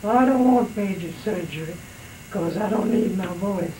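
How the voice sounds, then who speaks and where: A man in his sixties with a raspy voice left by throat surgery, slowly recounting memories in a home oral history interview. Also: sits high, darkish tone, a little hiss or hum, poor audio. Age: 60s. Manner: slow, unhurried storytelling with pauses.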